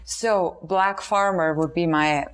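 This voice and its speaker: Austrian woman chatting, slightly low voice. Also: steady tone, slow pace. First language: German. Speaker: co-host. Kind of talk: casual chat.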